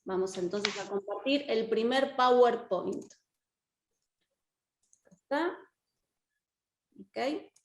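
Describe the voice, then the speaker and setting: High-pitched Argentine woman teaching, echoey room. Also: extremely slow, pauses often, slight background noise. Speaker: course teacher and lawyer. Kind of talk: interactive online lecture. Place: indoor room, online video class.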